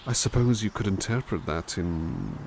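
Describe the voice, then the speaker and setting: A man's voice: low voice, expressive, moderate pace, vocal fry, heavy background noise. A man playing a kind, courteous butler who reads poems aloud and muses on them in a formal, polite way for an ASMR roleplay. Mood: musing. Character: kind, courteous male butler reading to listener.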